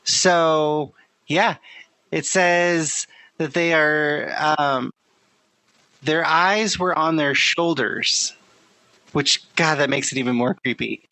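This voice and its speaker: Co-host, unhurried reader of notes, filler-heavy, slow pace, dry room. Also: mid-range voice, pushed and bright, dry recording, low-quality recording. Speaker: podcast co-host. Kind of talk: casual chat, reading out research.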